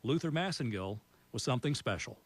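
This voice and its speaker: A man's voice: medium pitch, animated, dry recording. Man anchoring a TV news tribute. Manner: warm, measured tribute delivery. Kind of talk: broadcast news narration.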